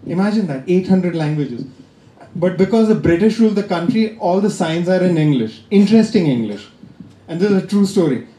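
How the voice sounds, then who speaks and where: A man's voice: sits high, expressive, brisk, mellow. A man from India with an Indian accent performing a stand-up comedy set, teasing the crowd with a dry delivery. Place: comedy club stage. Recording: roomy live club sound.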